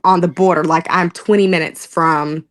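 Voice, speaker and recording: A woman's voice: lowish voice, fairly even intonation, moderate pace. A young woman from southern Oklahoma with a Texas-leaning Southern accent, talking casually and laughing easily in an interview. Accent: Texoma Southern US. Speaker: political candidate interviewed.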